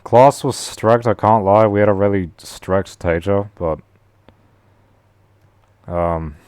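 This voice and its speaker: A man with a deep voice, rambling casually and slangily to his stream audience. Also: slow pace, darkish tone, smooth, dry recording. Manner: slangy, rambling, says "bro" a lot. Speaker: live streamer.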